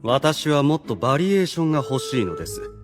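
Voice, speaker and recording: A man's voice: fairly high pitch, big-room sound, music in the background. A man playing a suave, devoted demon strategist who speaks with polite relish, in a Japanese anime audio drama. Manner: polite, smooth-talking, deliberate flattery.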